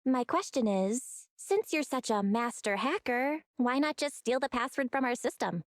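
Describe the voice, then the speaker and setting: Actress as high-voiced shrewd young heroine. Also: female voice, moderate pace, no echo, clean studio sound, lightly acted. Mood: probing, calm. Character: young sister, shrewd, composed, plucky underground operator. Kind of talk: English video game voice acting.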